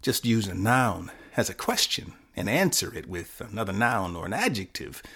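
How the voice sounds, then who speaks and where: A man playing a confused, fatalistic teenage boy who narrates his own short story in halting, self-correcting fragments. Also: on the low side, sing-song, fairly bright tone, husky, heavily acted. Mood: nervy, muddled. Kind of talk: first-person dramatic short-story performance.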